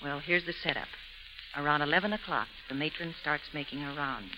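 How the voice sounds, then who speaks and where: A woman's voice: deep voice, brisk, natural voice. An actress playing a tough, streetwise woman convict in 1930s radio style, brusque, slangy and bossy. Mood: scheming. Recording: dull, noisy 1930s radio recording.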